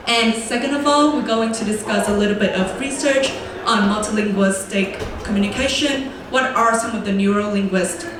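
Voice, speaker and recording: A young woman, a native Vietnamese speaker, gives a prepared student presentation in a clear, deliberate way and slows down to stress key words. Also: a little high, noisy background. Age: young adult. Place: large echoey lecture room. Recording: very echoey room, voice from far off.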